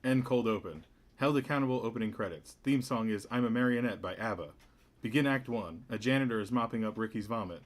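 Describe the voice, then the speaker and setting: A man's voice: on the low side, a little fast. A man narrating the stage directions of a script at a sitcom table read.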